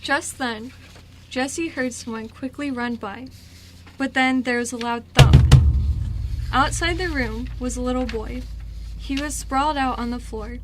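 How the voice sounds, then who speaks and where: A woman's voice: high-pitched, measured pace. A woman reading scripted story narration for an amateur radio drama. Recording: noisy amateur radio recording.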